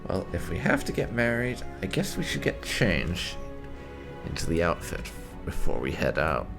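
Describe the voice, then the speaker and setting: A man's voice: low-pitched, wildly swooping pitch, moderate pace, creaky, over music. A man hosting a gaming video, giving casual let's play commentary in a wry, chatty way.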